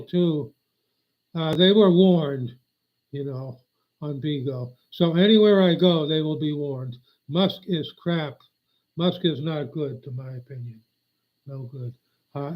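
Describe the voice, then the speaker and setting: Older man, livestreamer, forgetful and grumbling, slightly high voice, muffled lo-fi sound. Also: a little slow. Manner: meandering, forgetful, aggrieved. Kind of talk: rambling live stream chat. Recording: dull lo-fi audio.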